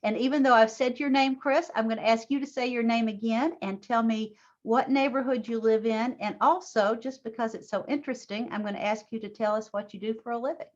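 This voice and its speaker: Woman interviewer, mid pitch, encouraging, dull muffled recording. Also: steady tone, brisk, hardly any pauses. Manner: warm, encouraging, with short affirmations. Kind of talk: oral history interview.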